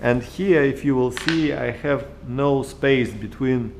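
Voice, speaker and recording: A man in his thirties with a Russian accent, talking through his workshop in halting English full of fillers and restarts, recorded on an action camera indoors. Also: mid-range voice, creaky, noisy background. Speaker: maker vlogger. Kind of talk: casual workshop vlog. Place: home workshop room, indoors. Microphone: action camera held or mounted close.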